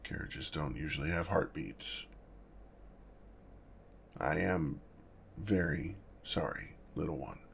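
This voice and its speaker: A man playing an awkward, apologetic, gentle male dragon in an ASMR roleplay, with a gravelly, husky voice and a halting, flustered manner. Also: very deep voice, expressive, a little hiss or hum, exaggerated voice. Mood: remorseful. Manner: halting, flustered, self-correcting, measured.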